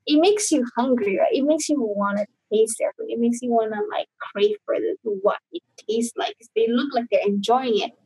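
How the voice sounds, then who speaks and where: A woman's voice: a little high, normal speed, hardly any pauses, mellow, slightly rough, dry recording, rough recording. A woman from Indonesia, a guest talking casually over a video call, rambling with many fillers and restarts.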